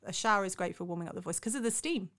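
A woman's voice: mid-range voice, expressive, racing, a bit bright. A British woman hosting a casual interview, chatty and affirming as she talks.

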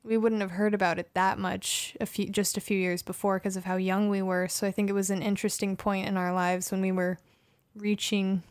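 A woman's voice: mid-range voice, little pitch movement, fairly quick, few pauses, dry recording. A woman in her twenties chatting as a podcast co-host, thoughtful and a little hesitant. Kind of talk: casual podcast chat.

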